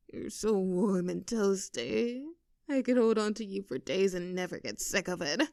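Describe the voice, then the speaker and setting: A woman's voice: mid-range voice, darkish tone, no echo, clean studio sound. A woman playing an affectionate, teasing young girlfriend in an intimate roleplay audio, coaxing and giggly in a conversational way. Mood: cosy, affectionate. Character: playful, clingy, affectionate young girlfriend teasing her partner.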